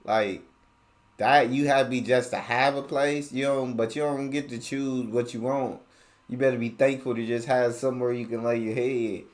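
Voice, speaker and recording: A man's voice: mid-range voice, steady tone, moderate pace. An American man giving casual, colloquial commentary as a reaction host, repeating and rephrasing his points. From United States.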